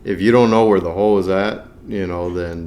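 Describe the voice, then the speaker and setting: A man's voice: low voice, fairly even intonation, brisk, a little mellow. A man talking casually on a podcast, explaining his points in an encouraging, conversational way. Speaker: podcast co-host or guest. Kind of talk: casual conversational movie discussion.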